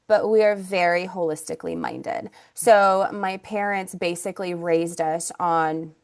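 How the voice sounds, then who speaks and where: Interview guest: woman in her thirties, Russian first language, from Ukraine, chatty storyteller with lots of fillers. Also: fairly low pitch. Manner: chatty, many fillers and restarts. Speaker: guest, naturopathic doctor and acupuncturist.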